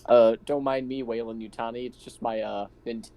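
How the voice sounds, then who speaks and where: A man's voice: medium pitch, steady tone, fairly quick, mellow. A man playing a tabletop roleplaying game, chatting casually and thinking aloud hesitantly. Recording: noticeable background noise.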